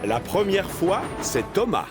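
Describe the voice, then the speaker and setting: A man's voice: high-pitched, big pitch swings, unhurried, music in the background. A man narrating a children's cartoon in a playful storytelling way.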